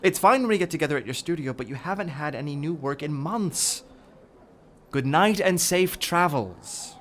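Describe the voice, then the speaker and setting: A man playing a composed, literate art collector who narrates a radio horror drama in a formal, measured manner. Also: a little high, expressive, a little hiss or hum, close to an everyday voice. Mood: politely firm.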